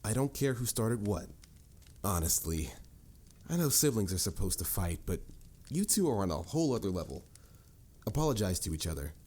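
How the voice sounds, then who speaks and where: A man's voice: lowish voice, very animated, moderate pace, long pauses, a little mellow, husky. A man playing a stern, formal mage mentor with a dry, lecturing manner in a scripted fantasy audio roleplay. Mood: exasperated. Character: stern, dryly exasperated adult male mage mentor.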